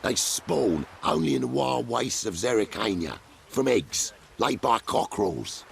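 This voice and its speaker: An actor playing a pompous, swindling street showman with gravelly, theatrical patter in a fantasy game. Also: on the low side, big pitch swings, a little slow, a little hiss or hum, exaggerated voice. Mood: boastful. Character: swindling male street showman boasting of fake monsters. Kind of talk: scripted fantasy game acting.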